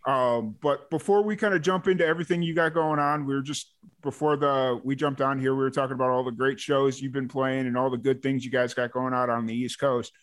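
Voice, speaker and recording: A man's voice: mid-range voice, steady tone, a little fast. A man hosting a podcast, asking loose, rambling questions full of restarts in casual chat. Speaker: podcast host.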